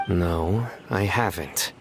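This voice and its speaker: A man voicing a stoic, terse, deadpan young man in a video game. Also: deep voice, slow, noisy background. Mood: flat, reserved. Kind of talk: animated video game voice acting. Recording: music under the voice.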